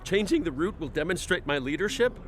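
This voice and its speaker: An actor playing an anxious, rule-bound middle-aged tour guide in a radio play, talking fussily and with exasperation. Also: male voice, fairly high pitch, sing-song, brisk, fairly bright tone, a little hiss or hum.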